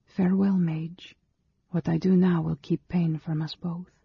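A man voicing a gentle, sorrowful woman of a magical forest race in a storybook, tender, halting and trembling. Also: a little high, level tone, no echo, highs cut off, pitched above the performer's own voice, full character voice. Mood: resigned farewell. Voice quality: soft, breathy, trembling.

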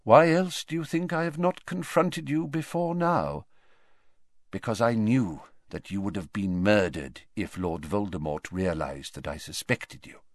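A man voicing an old, weakened headmaster wizard who speaks lightly and conversationally, sometimes mumbling softly. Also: lowish voice, animated, measured pace, dry recording, clean studio sound. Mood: gentle, pleading. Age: older.

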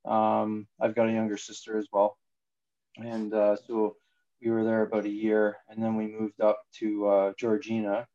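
A man's voice: on the low side, monotone, normal speed, mellow, dull audio. A man in his forties from Ontario, Canada, talking casually as an interview guest and hesitating with many fillers. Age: 40s. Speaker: guest, jiu-jitsu student.

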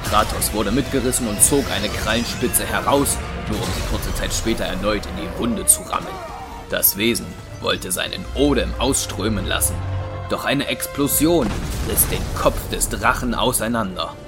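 Low male narrator over loud music. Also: moderate pace. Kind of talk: scripted audio drama narration.

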